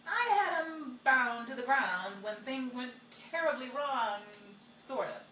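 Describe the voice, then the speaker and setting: Wisecracking wartime radio servicewoman, played by an actress: bright, fairly high, roomy sound with faint noise. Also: lively intonation, slow, recorded from a distance, full character voice. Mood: wry, dramatic. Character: wisecracking WAC reporting a chase, 1940s radio comedy. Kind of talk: 1940s radio acting.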